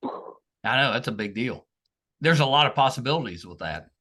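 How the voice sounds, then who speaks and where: Animated older American man, bright voice. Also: medium pitch, moderate pace. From American South. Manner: encouraging, chummy. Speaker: podcast host and interviewer. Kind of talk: casual chatty interview.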